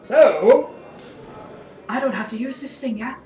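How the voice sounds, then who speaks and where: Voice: mid-range voice, a little slow, mellow, roomy sound, muffled recording, lightly acted. An actor playing a fiery, sarcastic young revolutionary council member in an audio drama, arguing points and tagging them with "yeah?". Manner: argumentative, sardonic, tags sentences with "yeah?". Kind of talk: audio drama acting, debate speech.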